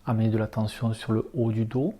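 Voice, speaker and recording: A man leading a guided relaxation meditation as an instructor, speaking calmly in short, unhurried instructions. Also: lowish voice. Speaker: yoga nidra relaxation instructor.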